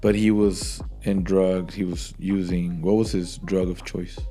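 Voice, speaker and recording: Deep-voiced man interviewing casually. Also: level tone, a little slow, warm tone, soft background music. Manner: drops Spanish words into English. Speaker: podcast interviewer.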